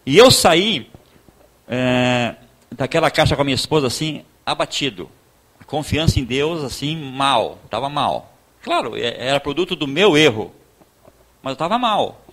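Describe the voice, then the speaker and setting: A man with a southern Brazilian accent from Rio Grande do Sul, preaching informally in a conversational, halting storytelling way, repeating words and acting out dialogue. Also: medium pitch, sing-song, a bit bright. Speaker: pastor giving a talk. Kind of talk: informal sermon with personal testimony.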